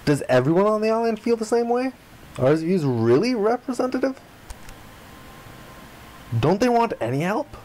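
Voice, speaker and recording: A man's voice: high voice, big pitch swings, moderate pace, long pauses, warm tone, voice pushed up. Visual novel hero: stubborn, indignant young man, read by a male playthrough host, protesting and exclamatory, voice pushed upward. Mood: frustrated, questioning.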